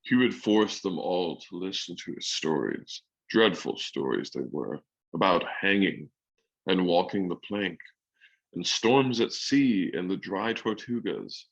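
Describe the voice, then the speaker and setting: A man's voice: low-pitched, animated, takes it slowly, dull audio. A man giving scripted storybook narration as the narrator of a radio drama.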